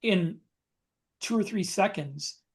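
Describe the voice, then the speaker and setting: A man's voice: high voice, slow, gravelly, breathy edge. A man co-hosting a podcast in casual chat, explaining his opinions as he goes. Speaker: podcast co-host. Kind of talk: casual chat about guns and military life.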